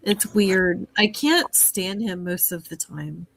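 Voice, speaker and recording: A woman's voice: mid-range voice, slow pace, vocal fry, a bit bright, no echo, poor audio. A woman hosting a casual livestream chat while fighting a head cold, rambling and trailing off mid-sentence. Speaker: livestream host.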